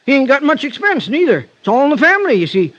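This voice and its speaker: An actor playing a garrulous backcountry American old-timer, with a rural accent and a rambling, folksy manner in an old radio drama. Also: male voice, extremely high pitch, sing-song, quick pace, fairly bright tone, full character voice. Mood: amused, gossipy. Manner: rambling, hesitant, folksy and chatty. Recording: muffled vintage radio recording.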